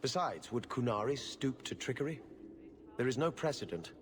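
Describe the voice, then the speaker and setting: An actor playing a prim, middle-aged government official in a video game, speaking in a formal, measured and dryly disdainful way. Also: male voice, medium pitch, big pitch swings, slight background noise, close to an everyday voice. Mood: guarded, disdainful. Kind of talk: video game cutscene acting. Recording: echoey hall reverb.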